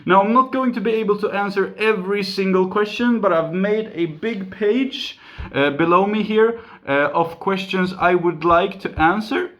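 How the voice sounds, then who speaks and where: A man's voice: sits high, moderate pace, a bit bright, dull audio. A man in his twenties with a Swedish accent, chatting casually in a vlog recorded on a phone at home, with many fillers and restarts. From Sweden. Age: 20s. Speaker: YouTuber. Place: home room. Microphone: phone camera.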